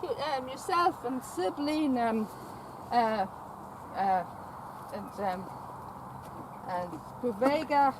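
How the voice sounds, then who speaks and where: A woman's voice: on the high side, takes it slowly, pauses often. A woman chatting outdoors in a paddock, coaxing a mare in baby talk, often repeating phrases and stammering over words. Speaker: vlogger visiting a stud farm. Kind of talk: casual talk to a horse and companion. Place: outdoor paddock at a stud farm. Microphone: camera held by companion. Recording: outdoor wind and farm noise.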